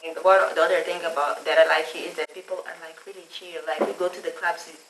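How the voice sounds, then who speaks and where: Young woman guest from Mozambique, low voice, filler-heavy casual talk, distant mic in an echoey room. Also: level tone, fast talker, very noisy. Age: young adult. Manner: hesitant, many "like" fillers. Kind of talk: casual chat.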